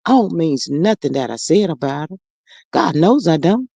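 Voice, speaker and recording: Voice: mid-range voice, sing-song, moderate pace, gravelly, dark tone, no room sound, highs cut off. A performer voicing an older mother who stammers with fillers and calls everyone honey and child while chatting over viewer comments on a livestream. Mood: gossipy chatty. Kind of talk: improvised comedic character livestream.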